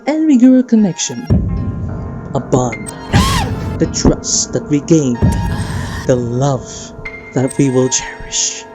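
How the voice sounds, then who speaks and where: A woman's voice: a bass voice, very animated, slow, pauses often. A woman giving casual, playful and enthusiastic fan commentary on a video game. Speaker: video creator hosting a game discussion. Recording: music behind, slightly dull sound.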